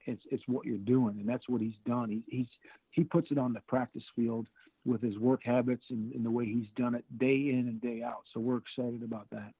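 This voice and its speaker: A middle-aged football coach answers questions over a tinny, phone-like line that sounds completely dry. He talks in halting bursts and keeps repeating words, but stays upbeat. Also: medium pitch, slightly rough, dry recording. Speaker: football defensive coordinator. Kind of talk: interview answers.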